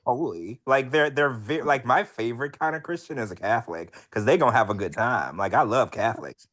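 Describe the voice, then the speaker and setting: A man's voice: medium pitch, lively intonation, fairly quick, fairly bright tone. Blunt, cussing male podcast co-host. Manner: blunt, profane, opinionated. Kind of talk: casual chat.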